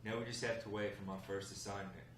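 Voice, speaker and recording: A man's voice: lowish voice, little pitch movement, quick pace, a bit bright, natural voice. A man reading the part of a confident, defensive husband who is joining a gang, in a drama script table read. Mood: excited, relieved. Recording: echoey room, distant mic, faint noise.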